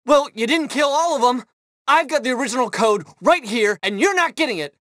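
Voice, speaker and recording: A vain, jittery tech-mogul villain for a video game. His delivery is glib and excitable, with very lively swings of pitch, recorded dry. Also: brisk, no echo, full character voice. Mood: anguished fury. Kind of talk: scripted video game acting.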